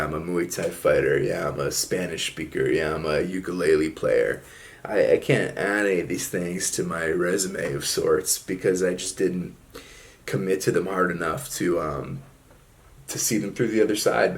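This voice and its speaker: Deep gravelly man musing casually. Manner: laid-back, drawling, frequent fillers like "man" and "like". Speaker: solo video host. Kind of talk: casual reflective monologue.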